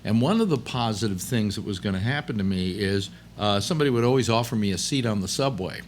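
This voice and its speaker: A man in his late seventies hosting a casual chat, rambling and halting with many restarts. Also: on the low side, lively intonation, a little hiss or hum. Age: 70s. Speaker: host.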